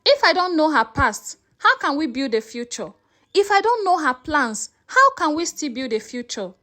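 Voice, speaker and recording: A woman's voice: high-pitched, very animated, normal speed, pushed and bright, exaggerated voice. A woman storyteller voicing an anxious married man who speaks carefully and defensively. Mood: uneasy, defensive. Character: anxious married man, polite, pleading with hostile in-laws.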